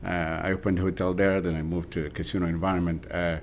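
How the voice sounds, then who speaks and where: A very deep-voiced man who grew up in southern France talks through his career in a relaxed, easygoing interview. He speaks with fillers, and faint noise sits under his voice. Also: fast talker. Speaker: hotel general manager. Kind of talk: casual interview answers.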